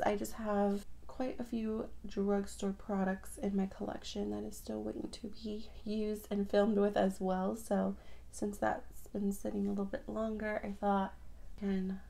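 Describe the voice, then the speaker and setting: Raspy-voiced young woman, chatty morning talk. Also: medium pitch, warm tone. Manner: chatty, rambling, filler-heavy. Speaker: beauty vlogger. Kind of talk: casual get-ready-with-me chat.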